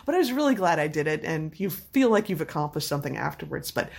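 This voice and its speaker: A woman's voice: low voice, quick pace, vocal fry. Slightly scratchy-voiced woman chatting. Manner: animated, laughs while telling stories. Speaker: storyteller in a chat. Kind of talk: casual anecdotal chat.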